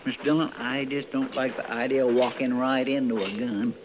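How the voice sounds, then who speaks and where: A man's voice: medium pitch, steady tone, brisk, close to an everyday voice. A man playing a loyal, anxious deputy sidekick with a folksy rural American accent, deferential and fretful, in a 1950s radio western. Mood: uneasy. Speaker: supporting radio actor. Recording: muffled, noisy old radio recording.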